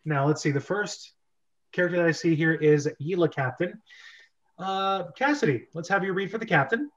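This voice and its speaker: A man hosting a casual, joking amateur script reading, halting and often correcting himself. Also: sits high, expressive, a bit bright, lo-fi sound. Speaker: host and script reader.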